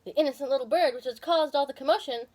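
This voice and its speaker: A woman's voice: high-pitched, fast, darkish tone. A woman hosting and reading a children's story expressively, with casual asides to viewers. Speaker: storyteller and video host.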